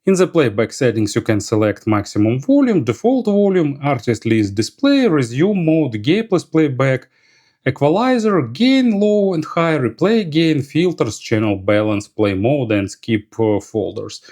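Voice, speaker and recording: A man giving a product review as an audio gear reviewer, speaking in a halting, hesitant way. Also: mid-range voice, sing-song, darkish tone.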